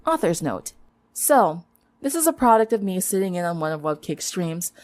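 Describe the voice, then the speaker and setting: A woman reading a story aloud as narrator, in expressive scripted narration. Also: on the low side, moderate pace, soft background music.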